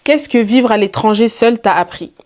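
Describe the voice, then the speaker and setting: A woman's voice: on the high side, expressive, moderate pace, darkish tone. A woman in her twenties from Belgium, introducing herself to camera in a chatty, playful, self-mocking way. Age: 20s. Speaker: YouTuber.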